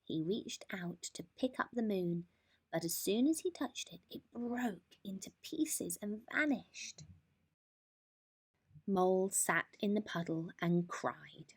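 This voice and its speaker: A woman narrating a children's bedtime story in an expressive, deliberate manner. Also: medium pitch, husky.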